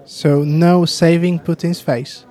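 A man's voice: fairly high pitch, little pitch movement, slow, mellow. A man hosting a political podcast, introducing his guest and putting questions as interviewer.

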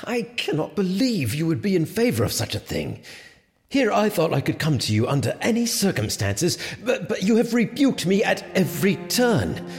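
An actor playing a smug, teasing medieval sheriff in an audio drama, with wry, formal and sometimes halting speech. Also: male voice, high-pitched, sing-song. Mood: indignant. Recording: long reverberant hall.

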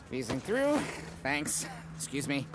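A man's voice: medium pitch, wildly swooping pitch, takes it slowly, background noise. An actor playing a hapless, good-natured and apologetic ad man in a comic audio drama. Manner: apologetic, eager to please. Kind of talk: audio drama comedy acting.

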